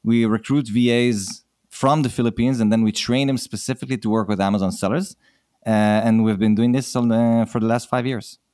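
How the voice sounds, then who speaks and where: A friendly man with an Israeli accent, chatting as a podcast guest and laughing easily. He leans on fillers while he thinks. His voice is smooth and resonant, and the recording is dry. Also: mid-range voice, steady tone, a little fast, darkish tone, dry recording. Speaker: company founder, guest. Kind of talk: casual interview chat.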